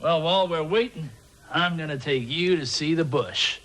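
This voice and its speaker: A man playing a wry, matter-of-fact guide in a scripted comedy sketch, with a dry, deadpan delivery. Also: a little high, moderate pace, lightly acted.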